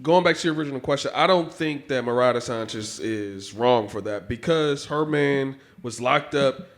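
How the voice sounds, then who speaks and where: A man chatting on a casual podcast, agreeable and quick to laugh while he reasons through a point. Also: medium pitch. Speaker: podcast guest host.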